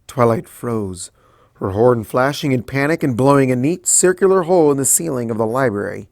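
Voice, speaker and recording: A man narrating a fan fiction story in a plain, even storytelling delivery on a close home mic. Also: mid-range voice, normal speed, creaky, dry recording. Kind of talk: fanfic audiobook reading.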